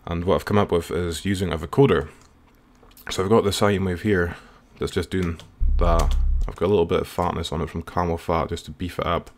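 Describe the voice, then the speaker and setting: Male music producer, low register, informal and slightly hesitant, talking through a screen-recorded software tutorial. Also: fairly even intonation, fairly quick, a little hiss or hum. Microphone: voice-over recorded while working at computer.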